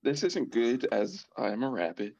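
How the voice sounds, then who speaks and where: Gravelly, very animated male gamer. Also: medium pitch, a little slow, highs cut off. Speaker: tabletop game player. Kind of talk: casual game chat.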